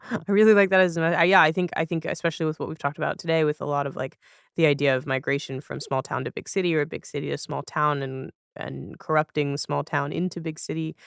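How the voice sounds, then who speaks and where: A woman's voice: sits low, vocal fry, clear, resonant tone, no echo, clean studio sound. A woman hosting a podcast in a casual conversational style, thoughtful and wordy as she rephrases ideas. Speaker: podcast host.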